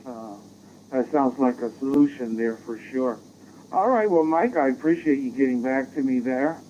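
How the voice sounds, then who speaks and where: An American man chatting casually as an amateur radio operator, rambling and halting, heard through a digital radio transmission. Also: medium pitch, mellow. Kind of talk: casual radio contact chat. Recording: dull, noisy digital radio audio.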